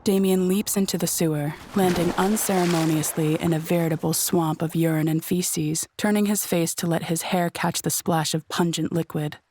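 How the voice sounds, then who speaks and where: A woman's voice: on the low side, steady tone, normal speed, hardly any pauses, noisy background. A woman narrating a scripted audio drama in a descriptive storytelling manner.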